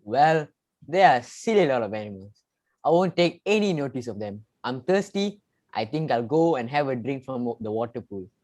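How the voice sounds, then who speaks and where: Young student doing a confused thirsty lion king for a kids story, bit growly, medium pitch. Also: very animated, normal speed, darkish tone. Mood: thirsty, dismissive. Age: teenager or young adult student. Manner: growling between lines. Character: puzzled, thirsty lion king, timid then stubborn. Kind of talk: readers theater storytelling.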